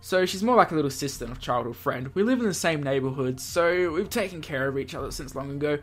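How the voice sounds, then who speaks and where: A man's voice: a little high, lively intonation, quick pace, soft background music. A man hosting a let's play, reading visual novel text dramatically and breaking off for playful asides. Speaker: gaming video host reading aloud.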